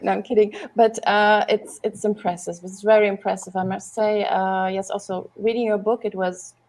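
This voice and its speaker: A woman's voice: medium pitch, level tone, moderate pace, darkish tone. A woman hosts an interview in a mid-range voice. She reacts to her guest and jokes lightly between questions. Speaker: podcast host. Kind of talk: interview hosting.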